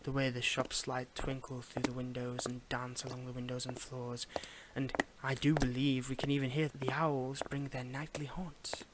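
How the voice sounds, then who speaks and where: Clear background noise sits under a man acting out a gentlemanly Victorian footman in an intimate audio roleplay. His voice is mid-pitched and gentle, sometimes sinking toward a whisper. He speaks with halting, formal politeness, weary yet flirtatious. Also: level tone, natural voice. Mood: charmed, flirtatious. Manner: formal old-fashioned phrasing, gentle, halting, lapsing into whispers.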